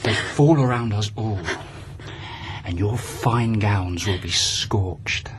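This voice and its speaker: A man's voice: on the low side, slow pace. An actor playing a drunk, bitter male poet in a radio drama, rambling and ominous, with faint background noise. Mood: raving. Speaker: poet Zushio.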